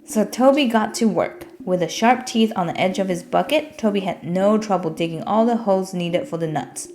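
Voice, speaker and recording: A woman's voice: medium pitch, normal speed, darkish tone, faint music behind. A woman narrating a children's storybook as a scripted read-aloud.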